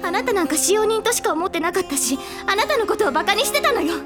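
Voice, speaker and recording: A woman's voice: extremely high pitch, big pitch swings, quick pace. A woman playing a bitter, vengeful and fragile teenage girl in a Japanese audio drama, with raw, venomous intensity. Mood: cold scorn. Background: music.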